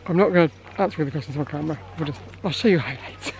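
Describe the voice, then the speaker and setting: High-voiced man vlogging outdoors. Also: fast, vocal fry. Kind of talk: casual vlog chat to camera. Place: outdoors at a zoo farm. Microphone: handheld camera at arm's length.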